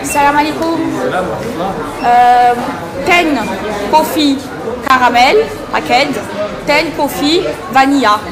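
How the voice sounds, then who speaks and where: Chatty high-voiced woman vlogging. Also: over a noisy crowd. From France. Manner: chatty, switching to halting broken English. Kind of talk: casual vlog in shops. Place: busy indoor shops.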